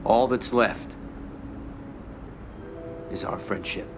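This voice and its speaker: An actor playing an ambitious, shrewd young mob underboss who talks in an even, controlled, businesslike way in a game cutscene. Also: male voice, mid-range voice, very slow, heavy background noise.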